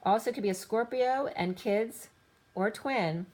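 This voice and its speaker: A woman's voice: on the high side, unhurried, a little mellow. Rambling woman giving a tarot reading. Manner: rambling, lists card after card in loose fragments. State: slightly losing her voice. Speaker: tarot reader.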